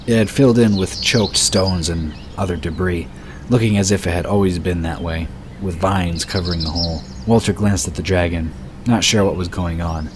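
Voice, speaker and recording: A man narrating a fantasy story in a steady, measured storytelling voice. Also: deep voice, background noise. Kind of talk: scripted audiobook narration.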